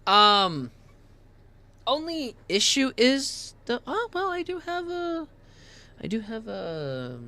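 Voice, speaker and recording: A man streaming a game from home on a headset, chatting casually and reading in-game text aloud, tripping over hard names. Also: extremely high pitch. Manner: reads lore in a slower, calmer voice.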